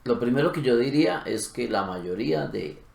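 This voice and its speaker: A middle-aged man co-hosting a casual conversation, stating his views calmly. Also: mid-range voice, moderate pace, gravelly. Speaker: co-host of a language channel. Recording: roomy echo.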